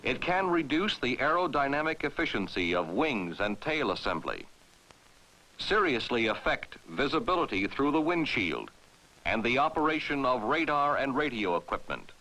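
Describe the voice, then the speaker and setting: An American man narrating a 1960s military training film in a formal, authoritative, clearly enunciated way, on a vintage film soundtrack. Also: medium pitch, big pitch swings, moderate pace, pushed and bright, low-quality recording.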